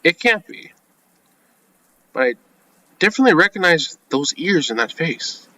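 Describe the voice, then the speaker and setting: Bright-voiced man doing game playthrough. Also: fairly high pitch, very animated, moderate pace, low-quality recording. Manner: informal, reacts with asides between read lines. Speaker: video game let's-player. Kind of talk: reading visual novel script aloud with casual commentary.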